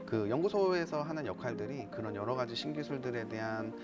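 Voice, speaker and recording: A man's voice: medium pitch, expressive, fairly quick, loud music behind the voice. A man working as an engineer, giving polite, formal, technical explanations in an interview. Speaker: car development engineer.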